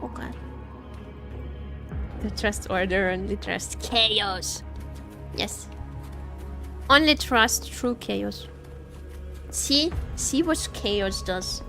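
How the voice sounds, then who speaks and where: A woman's voice: medium pitch, very slow, vocal fry, long pauses, pushed and bright. A woman streaming a story game with casual, playful, teasing live commentary over game music.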